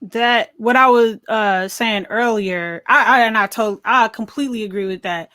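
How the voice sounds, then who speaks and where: An American woman with a fairly high voice talks in a dry, close recording. She is a podcast co-host in casual discussion, searching for words and leaning on little filler phrases as she goes. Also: moderate pace, sharp tone, no room sound.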